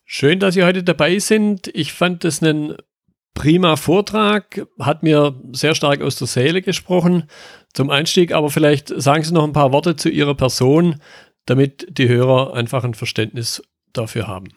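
A man's voice: fairly high pitch, normal speed, no room sound, studio quality. A man hosting a podcast, reading a formal intro and asking interview questions in a polished, friendly way. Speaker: podcast host.

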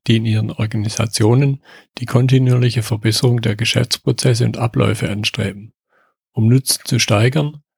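A man's voice: mid-range voice, vocal fry, a little mellow, breathy edge, dry recording, studio quality. A man hosting a podcast, reading a formal intro and asking interview questions in a polished, friendly way. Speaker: podcast host.

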